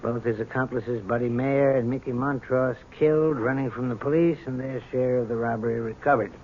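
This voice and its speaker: An actor playing a humane, persuasive prison doctor with a hesitant, coaxing manner in an old-time radio drama. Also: male voice, mid-range voice, fairly even intonation, a little fast, narrow, tinny audio, close to an everyday voice. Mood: chatty, official. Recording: thin, noisy old radio broadcast.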